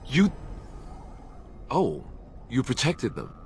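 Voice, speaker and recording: Wry warrior, very animated pitch. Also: male voice, drawn-out words, soft background music, exaggerated voice. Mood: wry, wary. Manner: sarcastic, hesitant, joking. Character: wry young male Grey Warden, former templar, nervy joker. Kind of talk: fantasy video game acting.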